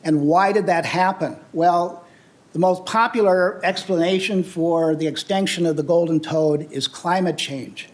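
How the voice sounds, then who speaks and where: An older man from the United States presents to an audience in an earnest, explanatory way, walking through slides. His voice sits high for a man. He often pauses on "uh" and restarts his phrases as he goes. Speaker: presenter on travel and sustainability. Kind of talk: public talk with slides.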